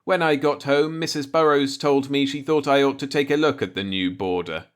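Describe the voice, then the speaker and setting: A man reading a short story aloud as an audiobook narrator, in a measured storytelling manner. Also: mid-range voice. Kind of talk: scripted narration, read-along short story.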